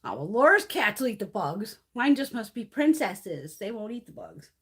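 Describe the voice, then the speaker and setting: A middle-aged woman hosts a casual beauty live stream and rambles through stories with loose, lively swings in her voice. Her tone is husky and slightly worn, as if she is starting to lose her voice, and she swears freely and laughs a lot. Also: a little high, normal speed. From Connecticut, USA. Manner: swears freely, laughs often, chatty storytelling.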